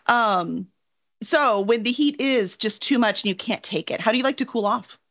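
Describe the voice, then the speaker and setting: A middle-aged woman with an American accent hosting a casual interview in an animated, informal way. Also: a little high, moderate pace. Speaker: interview host. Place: home room with bookshelves, indoors. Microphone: video call. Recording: dull, muffled video-call audio.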